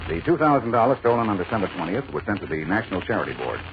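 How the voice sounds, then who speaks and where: A man's voice: on the low side, very animated, very fast. An actor playing a grave, methodical male crime investigator in a 1940s radio drama, serious and probing. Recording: muffled, hissy 1940s radio recording.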